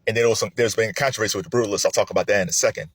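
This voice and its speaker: A man casually sharing his film awards predictions, with an animated manner, laughing often and swinging from excited highs to low mutters. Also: very fast, slightly rough, dry recording. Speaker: film commentator. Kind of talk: casual spoken commentary.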